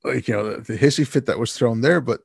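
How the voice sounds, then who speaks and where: A man hosting an interview, casual and animated, with plenty of fillers. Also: medium pitch, quick pace, creaky, slightly rough, no room sound, studio quality. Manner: animated, loose with fillers like "like" and "you know". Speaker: host. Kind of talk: conversational interview talk.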